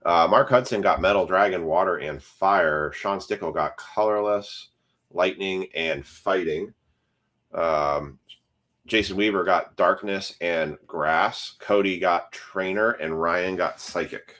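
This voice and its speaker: A man's voice: low-pitched, takes it slowly, a bit bright. A man casually chatting on a live stream while opening trading card packs, rambling to his viewers.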